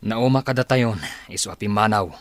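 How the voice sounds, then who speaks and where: A man's voice: fairly low pitch, moderate pace, dry recording, studio quality, close to an everyday voice. A man playing a stern, stubborn middle-aged father in a radio drama, firm and curt. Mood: defensive.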